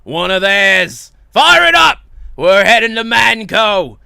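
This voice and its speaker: Man putting on a booming bombastic boss. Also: very animated, slow pace, vocal fry, pushed and bright, clean tone, dry recording, clean studio sound, full character voice. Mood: brash, commanding. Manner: booming, commanding.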